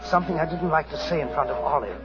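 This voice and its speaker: An actor as high-voiced, fussy English major. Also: male voice, fast talker, close to an everyday voice. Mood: anxious, confiding. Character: genteel, fussy, placating English major and husband. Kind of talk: 1940s radio acting. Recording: muffled old radio drama, music.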